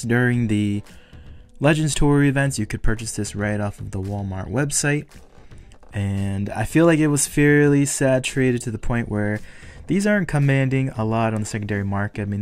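A man casually commenting on an unboxing of collectible toy cars, speaking with eager excitement about value. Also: medium pitch. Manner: enthusiastic, speculative collector talk. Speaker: toy car collector vlogger.

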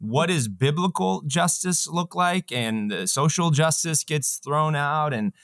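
A man in his thirties hosting a faith interview over a video call from a home office, asking thoughtful questions with pauses and restarts. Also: a little high, expressive, creaky, a bit bright, no room sound, clean studio sound. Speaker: podcast host. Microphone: studio microphone on video call.

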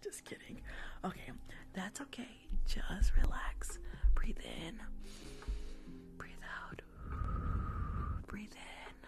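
A young adult woman doing a soothing ASMR nurse roleplay in a breathy whisper, close to the mic, with short reassuring phrases. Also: medium pitch, wildly swooping pitch, slow, pauses often, loud music behind the voice. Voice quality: breathy whisper with some creak. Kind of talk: whispered ASMR medical roleplay, live stream. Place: small room at home, indoors. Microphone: close microphone with fluffy windscreen.

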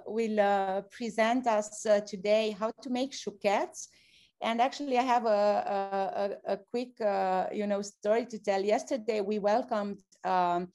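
A woman hosting an online event, speaking haltingly with many pauses. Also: mid-range voice, a little mellow. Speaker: event host.

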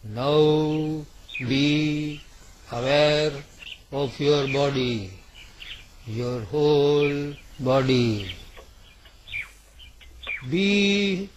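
A man leading a guided meditation, speaking in short, deliberate phrases separated by long pauses. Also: a little high, slight background noise. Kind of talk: slow guided yoga nidra meditation narration.

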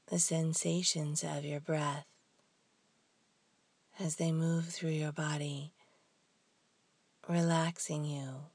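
A woman guides a meditation in a hushed, husky and breathy voice. Her delivery is soft and very even in pitch, with long pauses between short phrases. The sound is close and dry. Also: no echo.

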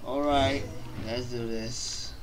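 A man's voice: medium pitch, fairly even intonation, slow, background noise. A young man giving casual reaction commentary as he streams a game. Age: young adult. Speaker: gaming streamer. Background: game music and effects.

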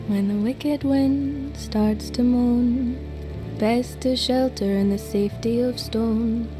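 A woman's voice: fairly high pitch, fairly even intonation, slow, music in the background. An actress playing a capable, practical young hill woman, a plucky daughter in a video game. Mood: cautioning. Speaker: game character.

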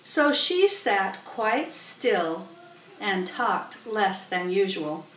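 A woman's voice: mid-range voice, big pitch swings, very slow, fairly bright tone, a little hiss or hum. A middle-aged woman narrating a children's story aloud, recorded on a webcam in a small room. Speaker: storybook reader. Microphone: webcam a little way off. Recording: roomy, distant webcam microphone.

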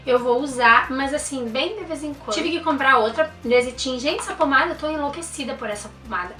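A woman's voice: high voice, fairly quick, bright, forceful tone, faint music behind. Young woman, chatty vlogger, ringing tone, roomy far-off sound. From Brazil. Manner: chatty, enthusiastic, fond of diminutives. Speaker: mom vlogger. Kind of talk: product review vlog. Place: indoor room.

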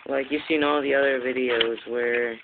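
A man's voice: medium pitch, fairly even intonation, normal speed. A man giving a casual, improvised how-to in an offhand, rambling way, recorded on a phone. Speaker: video maker giving a how-to. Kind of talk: casual improvised tutorial chat. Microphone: handheld Android phone. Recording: tinny phone-like audio, clear background noise.

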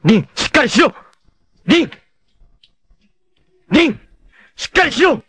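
A man voicing a proud, fierce young male martial artist in a 1980s anime, who moves from measured calm to raging shouts. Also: extremely high pitch, dry recording, exaggerated voice. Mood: enraged shouting. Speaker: anime voice actor. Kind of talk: 1980s anime dub acting.

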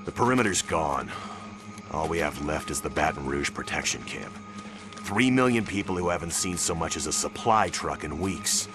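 A tough soldier in a video game, blunt and weary. His voice is low and grim, with music clearly behind it. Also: animated, normal speed. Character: grim, tough young male American soldier. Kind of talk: video game cutscene acting.

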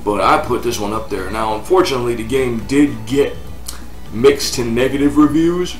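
A man's voice: medium pitch, normal speed. An American man in his thirties talking casually and bluntly to camera as a game review host, repeating himself for emphasis. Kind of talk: casual opinionated vlog talk to camera. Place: living room on a couch, indoors. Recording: roomy sound, distant mic, music behind.

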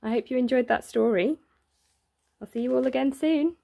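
A woman reading a rhyming children's picture book aloud in an expressive, deliberate way, drawing out words for emphasis. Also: sits high, vocal fry. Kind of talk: expressive read-aloud of a rhyming bedtime story.

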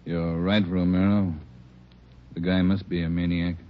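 A curt police chief of detectives in a vintage radio drama. His voice is fairly low and authoritative, on a muffled old recording. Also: flat delivery, unhurried. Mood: brisk, commanding. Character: brisk, commanding male chief of detectives. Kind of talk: mid-century radio drama acting.

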